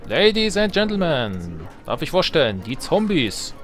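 A man's voice: on the high side, wildly swooping pitch, unhurried, fairly bright tone. A man giving casual gameplay commentary, thinking aloud with wry self-mockery. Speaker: let's play host. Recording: game music behind the voice. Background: video game music.

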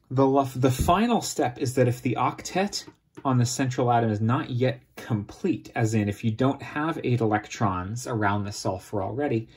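Man, husky voice, chemistry teacher, informal step-by-step tutorial, mid-pitched. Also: a little mellow.

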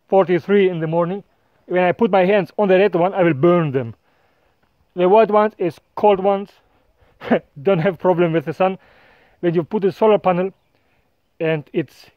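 Slow-talking guy in his 30s showing off his farm on a phone cam, plain simple phrasing, dull flat phone sound. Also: high voice, no echo. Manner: unhurried, plain non-native phrasing, chuckles often. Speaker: farmer vlogger. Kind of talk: casual walk-and-talk farm tour. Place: outdoors on a hillside farm and inside a bird shed. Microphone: phone camera at arm's length.

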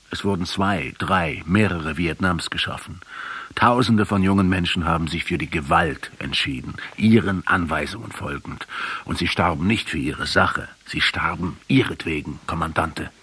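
An actor playing a sombre, reproachful intellectual in a German radio drama, measured and rhetorical, with a very raspy voice. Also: male voice, low voice, fairly bright tone, heavily acted. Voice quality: very husky, raspy. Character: sombre, reproachful male intellectual interrogating a revolutionary.

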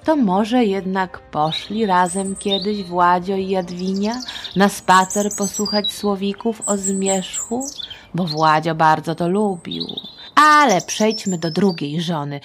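Unhurried woman telling history to children. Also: medium pitch. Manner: chatty, playful, expressive, with rhetorical asides. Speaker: podcast host and storyteller. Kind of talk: informal history storytelling for children.

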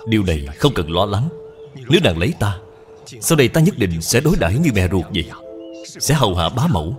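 A man voicing a persuasive young gentleman in a cartoon dub, polite, formal and reassuring. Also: on the low side, big pitch swings, brisk, soft background music. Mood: courteous, persuasive. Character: courteous, persuasive young gentleman suitor of means.

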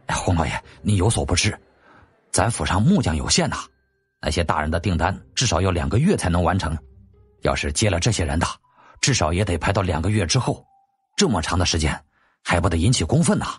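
A harried, deferential attendant in a historical story, done by a male narrator. He explains with weary apology, his pitch rising and sinking freely. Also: sing-song, a little fast, breathy edge, dry recording, full character voice. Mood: rueful.

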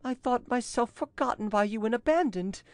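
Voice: mid-range voice, expressive, moderate pace, no room sound, voice pushed up, lightly acted. A narrator voicing a frightened young Frenchwoman who pleads with theatrical, imploring urgency in an audiobook story. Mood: frightened pleading.

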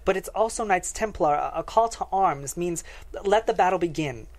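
Woman acting sceptical academic psychologist, haunted, lowish pitch, measured, hesitant, table-read drama. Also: animated, close to an everyday voice. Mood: uneasy, explaining. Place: small podcast studio. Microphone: headset.

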